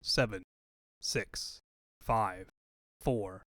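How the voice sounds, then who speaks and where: A man voicing a flat, methodical bomb countdown in an amateur audio drama. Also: on the low side, extremely slow, dry recording, clean studio sound, pitched below the performer's own voice.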